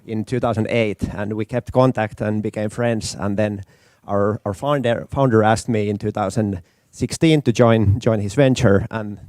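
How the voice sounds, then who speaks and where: A man, a startup co-founder on a panel, relaxed and repeating words as he talks. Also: fairly low pitch, normal speed, no room sound. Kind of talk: panel talk. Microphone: handheld.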